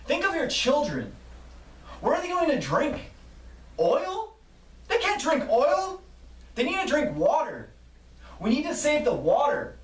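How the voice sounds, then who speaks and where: Woman protester, shouting and pleading, voiced by a man, high and loud, distant echoey recording. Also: wildly swooping pitch, measured pace, pauses often, full character voice.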